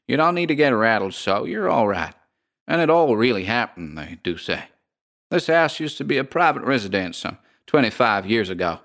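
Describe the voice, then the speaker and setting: A man voicing a coolly unbothered landlady of a haunted boarding house, with a metallic voice and a slow folksy drawl. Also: mid-range voice, a bit bright.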